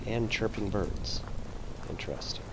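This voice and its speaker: A man giving casual let's-play commentary while gaming, laughing often and filling pauses with "uh" and "um". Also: on the low side, very noisy. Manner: slow, laughing, filler-heavy. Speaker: gamer. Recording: loud game rain and thunder behind voice.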